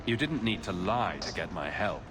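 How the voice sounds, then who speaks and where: Low-voiced pragmatic mercenary hero. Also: male voice, lively intonation, normal speed, noisy background. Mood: cool, pragmatic. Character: self-assured male mercenary adventurer, pragmatic and dry. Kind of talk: fantasy game dialogue acting.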